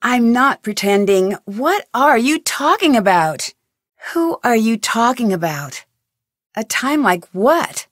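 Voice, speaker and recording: A woman's voice: a little high, big pitch swings, takes it slowly, dry recording, heavily acted. An actress voicing a curious young female detective in a video game, asking direct, probing questions. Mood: inquisitive. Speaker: game protagonist. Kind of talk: studio video game voice lines. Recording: dry studio recording.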